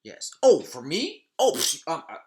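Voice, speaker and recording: A man hosting a podcast in casual chat, talking in a slangy, halting way and often repeating himself. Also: a little high, huge pitch swings, darkish tone. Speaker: podcast host.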